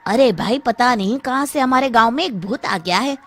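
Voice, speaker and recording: A woman voicing an alarmed, superstitious village man, anxious and excitable, in an animated Hindi story. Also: a little high, moderate pace, lightly acted. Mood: worried. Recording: faint background noise.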